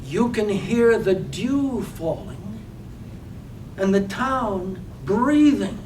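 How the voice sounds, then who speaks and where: A man narrating a poetic play from a script with hushed, deliberate, measured phrasing. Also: expressive. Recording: roomy stage, far mic, faint rumble.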